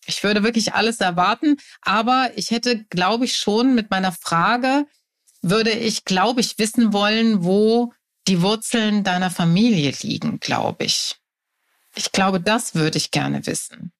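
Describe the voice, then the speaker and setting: A woman's voice: mid-range voice, measured pace. A woman co-hosting a talk podcast, thinking aloud hesitantly with many fillers. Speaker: podcast co-host. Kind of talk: casual conversation.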